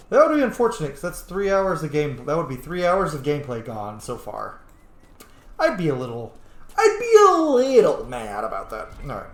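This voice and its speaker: A man giving casual let's-play commentary on a video game, informal, exclamatory and given to swearing. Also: on the high side. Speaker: video game streamer, host. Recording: roomy, echoing room sound.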